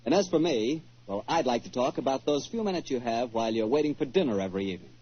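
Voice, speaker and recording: An American male announcer with a husky edge delivers a conversational sponsor pitch. His intonation rises and falls freely in classic 1940s radio fashion. The sound is dull and lo-fi. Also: expressive, fast talker. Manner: folksy, conversational sales pitch.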